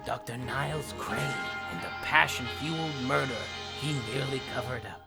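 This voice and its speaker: A man's voice: mid-range voice, normal speed, vocal fry, over loud music, natural voice. A man voicing a deceptively humble, shrewd middle-aged detective in a comic fandub, with a folksy, rambling, bumbling manner. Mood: feigned admiration.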